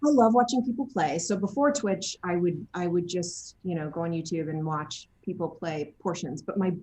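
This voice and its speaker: A woman chatting casually as an interview guest, talking in an effusive, animated storytelling way. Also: on the low side, moderate pace, poor audio. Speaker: interview guest, game voice and motion capture actor.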